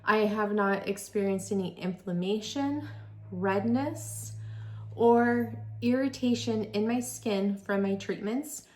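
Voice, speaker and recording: Forties female esthetician vlogger, enthusiastic and conversational, mid-pitched. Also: takes it slowly. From California. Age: 40s. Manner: chatty, enthusiastic, reads specs aloud. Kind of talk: product review vlog.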